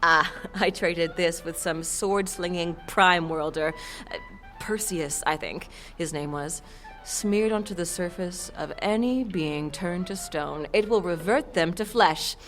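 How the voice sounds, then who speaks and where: A woman's voice: fairly low pitch, unhurried, fairly bright tone, soft background music. A woman playing a playful, sultry half-demon shopkeeper in an audio drama, selling with coy, theatrical flourishes. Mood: chatty, storytelling. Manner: coy, theatrical saleswoman with chuckles and purring flourishes.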